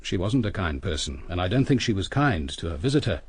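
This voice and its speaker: A husky British detective inspector, methodical and cool. His delivery swings widely in pitch as he deliberately reconstructs events, as in a radio murder mystery. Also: sing-song, heavily acted. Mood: reflective, intent. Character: coolly brilliant male police inspector, methodical and probing.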